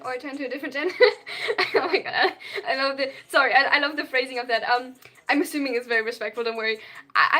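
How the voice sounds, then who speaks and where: A young adult, reading viewer chat aloud on a livestream and answering at length. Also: female voice, sits high, sharp tone. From Germany. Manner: reads viewer chat aloud and answers, tends to over-explain. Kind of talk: casual live-stream chat.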